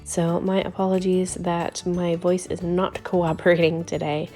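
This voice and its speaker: Hoarse lady rambling about her scrapbook page, low mellow voice, quiet music behind. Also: level tone, unhurried. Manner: rambling, chatty, frequent ums and little laughs. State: hoarse, voice going out from illness. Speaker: scrapbooking video host. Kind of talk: casual voice-over while crafting.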